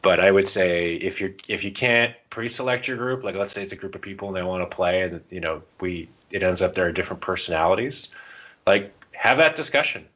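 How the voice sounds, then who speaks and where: A man with a very low voice chats casually as a podcast co-host. He is irreverent and pokes fun at himself. The audio is a little dull and lo-fi. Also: fairly quick, fairly bright tone. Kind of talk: casual chat.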